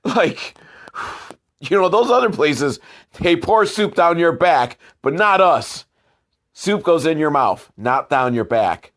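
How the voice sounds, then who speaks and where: A man's voice: on the high side, sing-song, takes it slowly, fairly bright tone. A man hosting a comedic film review show, talking in a casual, rambling, wry way and swearing freely.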